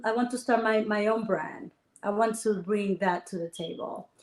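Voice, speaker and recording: A woman from the Dominican Republic, a guest entrepreneur telling her story in a lively, animated conversational interview. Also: a little high. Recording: echoey room.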